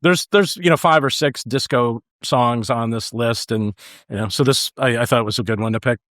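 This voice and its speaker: A man on a podcast panel talking casually and haltingly about music. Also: medium pitch, a bit bright, no echo, studio quality. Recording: faint music behind the voice. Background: faint music.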